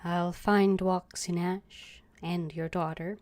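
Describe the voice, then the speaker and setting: A woman's voice: lowish voice, steady tone, slow, a little mellow. A woman game streamer, reading out dialogue and commenting casually as she plays.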